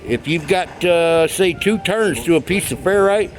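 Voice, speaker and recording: A middle-aged American man with a slightly high-set voice talks through a practical explanation. He is informal and folksy, speaking casually as he demonstrates at a workbench. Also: animated, measured pace. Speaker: hobbyist giving radio tips. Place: indoors at a wooden workbench.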